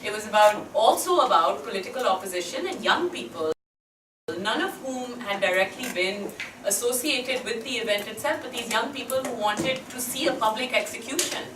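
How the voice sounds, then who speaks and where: Woman, measured, hesitant, roomy hall, distant mic. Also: a little high, animated, background noise. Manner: thoughtful, hesitant. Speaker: seminar panelist. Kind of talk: academic panel discussion.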